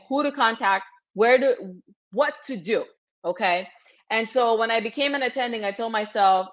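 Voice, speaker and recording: A woman's voice: a little high, fairly bright tone. Upbeat woman physician in her thirties hosting a webinar, direct and encouraging, slightly dull lo-fi audio. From Cameroon. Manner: upbeat, direct, repeats cues like "okay?" to the audience. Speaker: physician hosting a webinar for international medical graduates. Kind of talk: energetic live webinar coaching.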